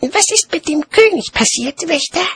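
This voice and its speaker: A man's voice: extremely high pitch, lively intonation, normal speed, fairly bright tone, dry recording, voice pushed up, exaggerated voice. A man voicing a naive, chatty and cheerfully stubborn small child in an audiobook story. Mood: chatty, curious.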